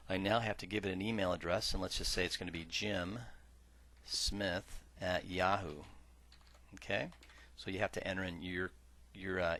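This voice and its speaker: A man working as a software trainer, narrating an instructional screen-share tutorial in a measured, explanatory way that stresses key words. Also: low voice. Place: voice-over for a screen recording.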